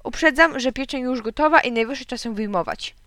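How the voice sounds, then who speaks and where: Woman acting exasperated mother, storybook reading, reproachful fretting, crisp bright tone. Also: high voice, a little fast, dry recording, pitched above the performer's own voice. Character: exasperated mother fretting over a spoiling dinner.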